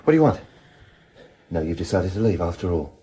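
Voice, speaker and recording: A man playing a weary, guarded detective in a radio crime drama, with a husky voice and a terse, dry manner. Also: deep voice, big pitch swings, fairly quick, dark tone, a little hiss or hum. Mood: wry.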